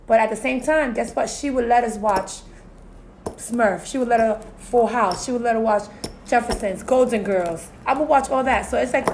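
Woman, Puerto Rican family, talkative, frequent fillers, fairly high voice, faint hiss. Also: normal speed, darkish tone, rough recording. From Puerto Rican family, US-raised. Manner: talkative, frequent "you know" and "so it's like". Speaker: podcast co-host. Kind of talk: casual discussion.

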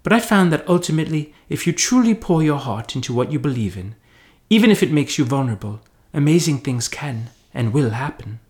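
Man putting on an earnest, high-pitched bookish witch girl. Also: sing-song, fairly quick. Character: earnest, bookish young witch, eager know-it-all girl.

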